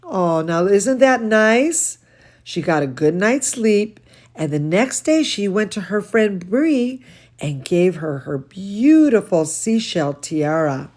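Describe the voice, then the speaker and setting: A woman reading a bedtime storybook aloud to children, expressive and cooing, speaking as if to very young listeners. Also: medium pitch, slow. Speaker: children's storyteller, dance studio teacher.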